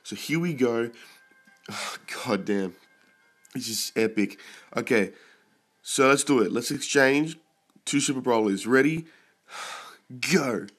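A young man who is sick and keeps clearing his throat, casually commenting on his mobile game pulls and getting excited and swearing at good results. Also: medium pitch, takes it slowly, long pauses, soft background music.

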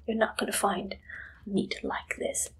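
A young woman playing an eager, shifty Victorian pie-shop keeper in an ASMR roleplay, breathy and whispery, halting and coyly secretive. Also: natural voice, low-quality recording. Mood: coaxing, proud. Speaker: ASMR performer. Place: small dim indoor room.

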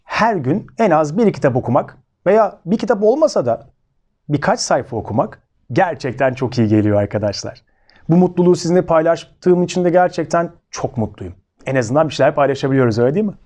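Animated Turkish man telling children's stories. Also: on the high side, brisk. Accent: Turkey Turkish. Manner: expressive, addresses listeners as friends. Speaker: children's storytelling show host. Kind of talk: children's storytelling with direct questions to listeners.

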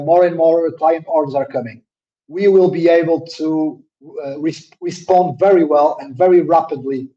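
A man with a somewhat high voice but a warm, mellow tone fields questions at a webinar. He hesitates, restarts and repeats words as he works his way through each answer. The call audio is dull and lo-fi. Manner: says his own voice is a little deep. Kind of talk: live webinar Q&A. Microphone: laptop mic on a video call.

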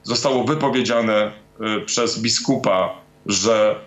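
A man in his forties speaking as a guest on a talk show over a video call from his home study, in a halting, deliberate way with many pauses. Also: lowish voice, echoey room. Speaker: guest commentator. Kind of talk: remote talk-show interview. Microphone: video call webcam.

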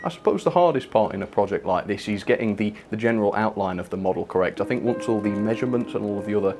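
A man's voice: lowish voice, expressive, quick pace, over music. A young man playing an earnest, chatty lead designer, explaining informally in a spoof interview. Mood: relaxed, explanatory. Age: young adult. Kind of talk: mock documentary interview. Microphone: lapel mic.

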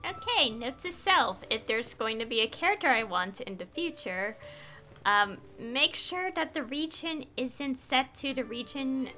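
A woman's voice: sits high, animated, measured pace, bright, forceful tone. A woman doing casual live gameplay commentary, thinking aloud in a self-amused way. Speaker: game streamer. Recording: faint game music behind.

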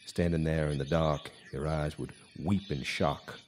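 Man playing a very deep-voiced, haunted drinker. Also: steady tone, unhurried. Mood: grim, haunted. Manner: brooding, theatrical storytelling. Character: haunted, bitter, hard-drinking male guitarist telling his tale. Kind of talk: noir radio drama monologue. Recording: live stage recording with background noise.

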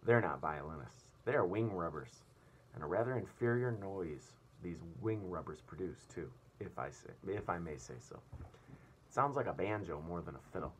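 A man's voice: deep voice, lively intonation, moderate pace. A man voicing a courteous, proud elderly grasshopper violinist in a children's storybook, in a lecturing manner. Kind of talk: children's storybook read-aloud. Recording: clear room noise.

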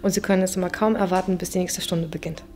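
A woman's voice: on the low side, fairly even intonation, fast talker, mellow. A woman from a refugee shelter speaking earnestly about the children in an interview. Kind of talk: documentary interview statement.

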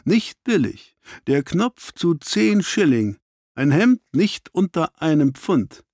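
Male narrator as gentleman detective, raised pitch, precise, clipped, analytical, ironic, audiobook, dry sound. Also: very animated, slow, no echo, heavily acted. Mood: matter-of-fact. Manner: precise, deductive, clipped statements. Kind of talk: dramatised audiobook reading.

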